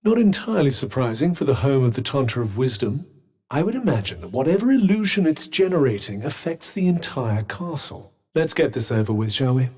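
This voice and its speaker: A sardonic talking magic bracelet from a video game. His husky voice swoops through a wide, animated range as he needles his companion with dry, teasing remarks. The humor stays bone-dry throughout. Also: medium pitch. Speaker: companion character.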